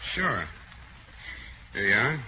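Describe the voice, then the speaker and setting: Tired tough-guy radio detective, dry deadpan cracks, muffled old broadcast, hiss all through. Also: on the low side, slow. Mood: weary, deadpan. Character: weary hard-boiled male private detective. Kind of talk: 1940s radio comedy acting. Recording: hissy 1940s radio broadcast.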